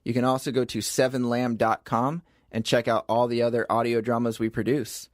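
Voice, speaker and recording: A man's voice: medium pitch, little pitch movement, brisk, no echo, clean studio sound. A man co-hosting a comedy podcast in casual banter, playfully sarcastic and often feigning confusion or grievance. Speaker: podcast co-host.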